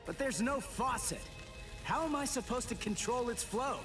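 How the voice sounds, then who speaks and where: Hot-headed teen boy, very high pitch, blunt, anime game dub, music behind. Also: lively intonation, moderate pace. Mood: exasperated. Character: brash, hot-headed teenage boy swordsman. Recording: distant sound under game music.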